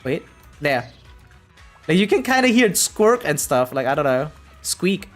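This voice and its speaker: An excitable variety streamer with a mid-range voice and very lively, swinging intonation. The talk is casual live commentary, slangy and sweary, addressed to viewers, with music faintly behind it. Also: mid-range voice, a little slow.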